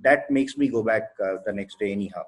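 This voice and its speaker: A man with an Indian English accent, a company co-founder answering interview questions thoughtfully, with many pauses and fillers. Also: on the low side, breathy edge. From Odisha, India.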